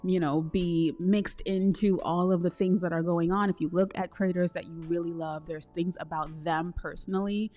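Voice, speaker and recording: A woman from Trinidad hosting a solo podcast, talking in a chatty, expressive way with slangy asides. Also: fairly low pitch, moderate pace, few pauses. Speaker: podcast host. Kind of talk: solo podcast, casual self-reflection.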